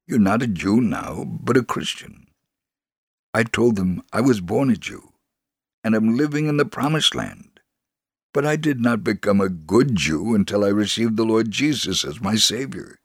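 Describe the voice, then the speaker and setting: Devout Holocaust survivor in radio memoir drama: low pitch, earnest and measured, firm conviction, close dry sound. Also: male voice, lively intonation, slightly rough, no room sound, exaggerated voice. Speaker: dramatic reader. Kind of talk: dramatic reading of a first-person memoir.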